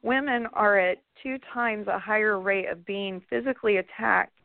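A hoarse woman calls in to a talk radio show and speaks earnestly and with passion. The line is narrow and phone-like, dry with no room sound. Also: medium pitch, moderate pace, no room sound. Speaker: caller. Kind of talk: call-in radio chat.

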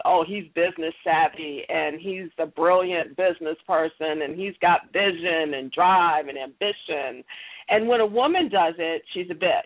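A middle-aged woman giving interview answers in an emphatic way, repeating key phrases for stress. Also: lowish voice. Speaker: interview guest, audio theater creator and company leader. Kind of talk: interview answers, explaining her success strategies. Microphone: telephone or call connection. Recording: muffled, band-limited phone line.

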